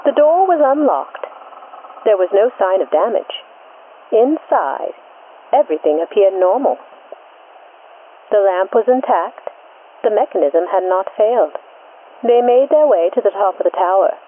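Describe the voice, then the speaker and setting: A woman narrating a scripted mystery in 1940s radio style, measured and deliberate, through an old-time radio filter. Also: mid-range voice, expressive. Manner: measured, deliberate, short suspenseful phrases. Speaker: host and narrator of a mystery show. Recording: tinny band-limited vintage radio, static noise.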